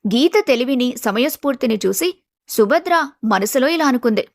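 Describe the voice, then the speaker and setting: A woman's voice: high-pitched, animated, moderate pace, dry recording. A woman reading a scripted family story as its narrator.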